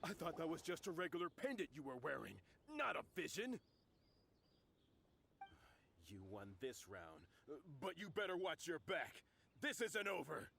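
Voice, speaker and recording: A man's voice: on the high side, big pitch swings, normal speed, vocal fry, pushed and bright, slight background noise, full character voice. A man voicing a sneering, bullying thug in a video game, mocking and laughing at his target. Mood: threatening. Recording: game audio with faint ambience.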